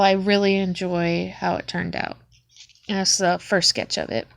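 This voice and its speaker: A young woman with a sniffly cold, showing her sketchbook in a hesitant, casual way with frequent ums. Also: mid-range voice. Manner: hesitant, with many ums and restarts.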